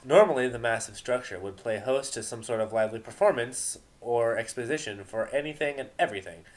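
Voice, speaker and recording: A man of medium pitch reads a story aloud as narrator. His delivery is dramatic and deliberate, putting weight on key words.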